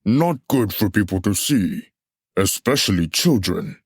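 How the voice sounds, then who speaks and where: An officious, unbothered collector of banned books, played by a man in a puppet musical. He speaks slowly and dismissively in a lowish voice, recorded close and dry. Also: expressive, husky, dry recording, heavily acted. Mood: matter-of-fact. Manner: deliberate, dismissive, matter-of-fact. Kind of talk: musical puppet show stage acting.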